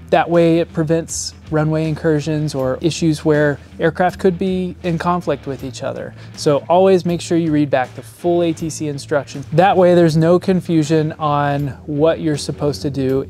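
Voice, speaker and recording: Mellow, higher-pitched man explaining things plainly. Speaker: flight instructor. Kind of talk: scripted instructional presentation to camera. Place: indoor studio set, seated. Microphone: lapel mic.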